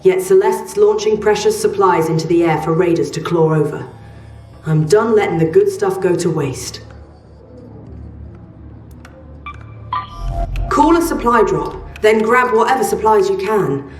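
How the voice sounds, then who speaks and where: A blunt female arms dealer voiced by an actress in a video game, casual and dry. The voice is medium-pitched and echoes a little away from the mic, over faint music. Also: moderate pace, darkish tone, natural voice. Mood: disgruntled. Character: brusque, resentful female arms dealer handing out jobs. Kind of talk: scripted game quest dialogue. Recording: roomy, distant, faint music.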